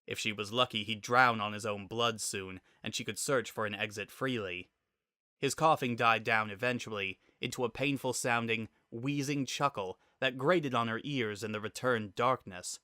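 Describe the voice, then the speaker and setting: A man narrating a scripted story in a dramatic, expressive and deliberate way. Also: medium pitch, no room sound, studio quality.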